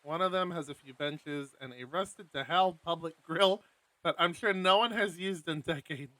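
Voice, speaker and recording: A man with a fairly high, bright and forceful voice, hosting a live game stream. He reads a visual novel's text aloud, breaks off into casual, self-deprecating asides, and sits close to a dry, dead-sounding streaming mic. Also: moderate pace, no room sound. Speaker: streamer host.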